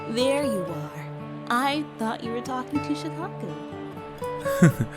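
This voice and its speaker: A woman playing a high, lilting young wife. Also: very animated, slow. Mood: excited, affectionate. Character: bright, affectionate young wife. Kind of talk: fan-made anime audio drama acting. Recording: loud guitar music behind the voice.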